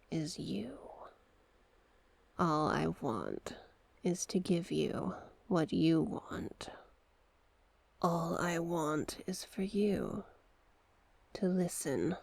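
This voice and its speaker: Woman as a slow, breathy, seductive sea witch. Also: on the low side, darkish tone, no room sound, full character voice. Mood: tender, possessive. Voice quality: breathy, husky, often whispering. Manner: slow, hypnotic, coaxing repetition. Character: seductive, manipulative sea witch, softly possessive and coaxing. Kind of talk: ASMR roleplay, close whispered monologue.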